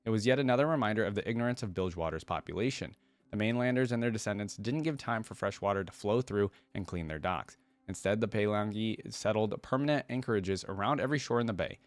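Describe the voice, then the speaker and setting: A man's voice: fairly low pitch, quick pace, no room sound. A man hosting a reaction video, reading a story aloud and adding casual, conversational commentary.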